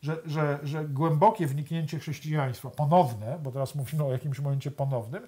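An older man, an author, speaking in a TV studio discussion, thoughtful and halting, with frequent hesitations and restarts. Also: a little high, steady tone, dark tone. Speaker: author and guest.

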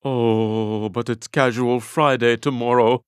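An actor playing a pompous, theatrical alien Jedi master in a video game, with florid and grandiose diction. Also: male voice, mid-range voice, fairly even intonation, takes it slowly, clean tone, no echo, clean studio sound, natural voice. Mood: haughty, weary. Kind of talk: video game character voice clips.

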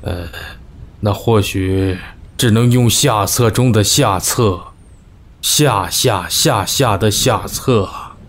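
An actor playing an earnest, scheming court adviser, formal and deferential, in an ancient-costume audio drama. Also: male voice, lowish voice, slow pace, a little hiss or hum. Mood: hesitant.

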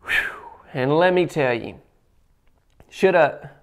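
A young man hosts a home-tour video, talking casually and warmly to camera. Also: on the high side, takes it slowly. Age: young adult. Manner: friendly, chatty, animated with hand gestures. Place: indoors at a kitchen counter. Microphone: clip-on lapel mic.

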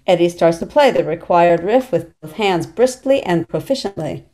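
A woman's voice: on the low side, lively intonation, moderate pace, dark tone. A middle-aged woman reading stage directions as scripted narration over a video call. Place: small plain room at home, on video call.

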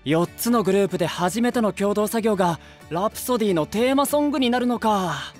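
Easygoing, lively young band singer. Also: male voice, high-pitched, a little fast, faint music behind. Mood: admiring. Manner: youthful, casual-polite. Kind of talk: Japanese anime voice drama acting.

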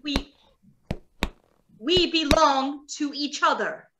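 A woman's voice: high voice, slow, sharp tone, exaggerated voice, low-quality recording. A woman playing a young, protective robot in love, speaking earnestly and declaratively in old radio drama style. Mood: tender. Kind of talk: 1930s radio drama acting. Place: indoors on a video call.

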